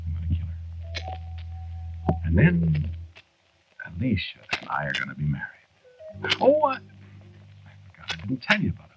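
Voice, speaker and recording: A man's voice: lowish voice, huge pitch swings, a little slow, pauses often, music in the background, exaggerated voice. An actor playing a weary, centuries-old henpecked man in a 1940s radio drama, confiding in a hesitant, wry way. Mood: weary, resolved. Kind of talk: 1940s radio drama acting, first-person narration. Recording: dull, noisy 1940s radio recording.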